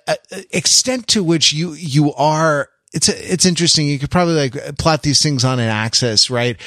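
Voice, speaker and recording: A man's voice: mid-range voice, vocal fry, fairly bright tone, dry recording, studio quality. A middle-aged American man chatting analytically on a podcast, digressing and often searching for his words. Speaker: podcast panelist. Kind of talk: casual analytical chat.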